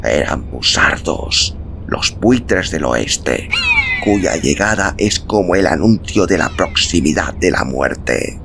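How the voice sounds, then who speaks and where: Deep-voiced, expressive male storyteller. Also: vocal fry. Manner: measured, dramatic storytelling. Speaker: audiobook narrator. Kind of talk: expressive literary narration. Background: music.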